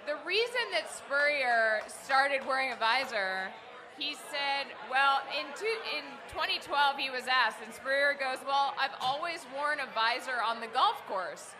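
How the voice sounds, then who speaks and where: A young woman co-hosting a casual sports chat, playful and chatty, quick to laugh. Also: sits high, animated. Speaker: co-host. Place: crowded bar, indoors. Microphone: handheld mic. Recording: live bar crowd and noise behind.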